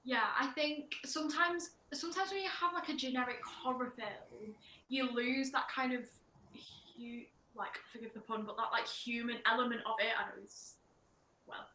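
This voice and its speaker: A woman's voice: a little high, normal speed, sharp tone. A woman hosting a podcast interview, chatty and enthusiastic, saying "like" often. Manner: chatty, enthusiastic, heavy use of "like". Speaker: podcast host. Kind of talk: casual interview chat. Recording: echoey room with faint background noise.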